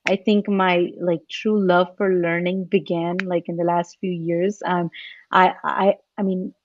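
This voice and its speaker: Lowish woman panelist chatting, thoughtful and halting, dull lo-fi audio. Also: little pitch movement, slight background noise. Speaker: podcast panelist. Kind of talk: casual reflective chat.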